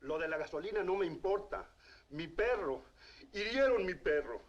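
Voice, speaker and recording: A man's voice: high voice, expressive, a little fast. An actor playing an agitated, urgent local man in a dull-sounding old 1950s film. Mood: upset, urgent. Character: agitated male Spanish-speaking local man.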